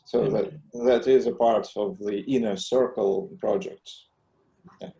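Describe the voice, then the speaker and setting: Low, mellow-voiced man chairing a meeting. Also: fairly even intonation, unhurried, gravelly, reverberant, highs cut off. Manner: hesitant, many filler sounds. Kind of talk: video meeting discussion.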